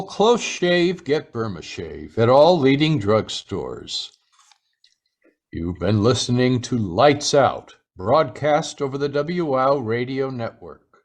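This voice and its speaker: A man working as a radio drama announcer, delivering scripted old-time radio copy with a measured showman's delivery. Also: medium pitch. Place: live remote video-call performance.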